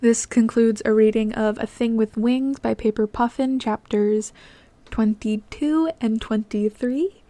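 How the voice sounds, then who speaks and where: A woman's voice: on the high side, steady tone, measured pace, dark tone, no room sound. A woman who reads fan fiction as narration and chats casually to listeners as the host. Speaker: podfic reader and channel host.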